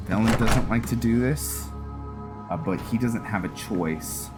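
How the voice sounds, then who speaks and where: Male gaming host, very low register, slow delivery, casual roleplay commentary with game music behind. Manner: thinking aloud, narrating decisions. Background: video game music.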